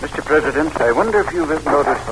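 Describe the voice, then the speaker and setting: Male actor as courteous older judge, fast formal speech, old radio drama, very noisy recording. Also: fairly high pitch, lively intonation, natural voice. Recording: very noisy vintage radio broadcast.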